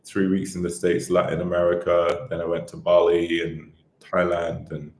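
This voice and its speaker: A man in his thirties from Barbados, a podcast guest telling his life story in a relaxed, rambling way with lots of fillers. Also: low-pitched, moderate pace, reverberant. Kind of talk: casual interview chat.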